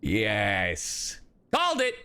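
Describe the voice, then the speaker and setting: A man livestreaming casual reaction commentary, slangy, sweary and excitable. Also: on the low side, extremely slow, clear, resonant tone. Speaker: streamer.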